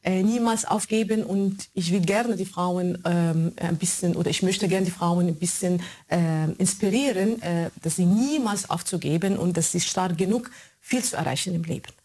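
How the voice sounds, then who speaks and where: Arabic-accented woman, halting interview speech. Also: on the low side, warm tone. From born in Germany, raised in Kuwait and Egypt. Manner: halting, searching for words in non-native German. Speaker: radio show maker being interviewed. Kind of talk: conversational interview answers.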